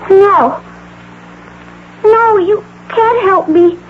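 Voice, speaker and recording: A woman's voice: extremely high pitch, extremely slow, heavily acted. An actress playing a fragile, lonely young female patient, plaintive and childlike, in a 1980s radio drama. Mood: wounded, distrustful. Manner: plaintive, pleading. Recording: tinny, noisy old radio broadcast.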